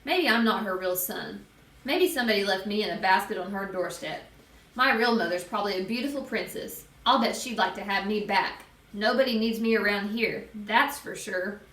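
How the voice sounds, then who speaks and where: A woman's voice: medium pitch, normal speed, fairly bright tone, reverberant, far from the mic, natural voice, rough recording. A woman voicing an exasperated, resentful nine-year-old boy, blunt and indignant, in a storybook. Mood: sulky.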